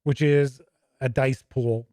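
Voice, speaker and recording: A man's voice: medium pitch, fairly even intonation, slow pace, mellow, dry recording. An American man hosting a tabletop gaming show, reviewing casually off the cuff and thinking aloud as he rambles.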